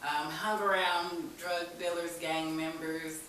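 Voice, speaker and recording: Bright-voiced woman in a very echoey room. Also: low voice, little pitch movement, takes it slowly. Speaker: interview guest. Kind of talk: candid personal storytelling in conversation. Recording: very echoey room, distant mic, faint hiss.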